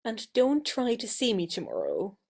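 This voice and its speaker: A woman playing a playful, wilful young actress in an audio play, brisk and coquettish with her suitor. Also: fairly high pitch, huge pitch swings, gravelly, darkish tone, full character voice. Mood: wilful, teasing.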